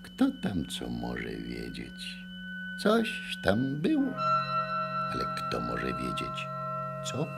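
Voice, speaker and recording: An actor playing a furtive, bewildered man in a radio drama, speaking haltingly and cautiously in a mutter. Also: rumbling low, wildly swooping pitch, creaky, exaggerated voice. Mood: wary. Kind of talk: theatrical radio drama acting. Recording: 1980 radio play with music behind.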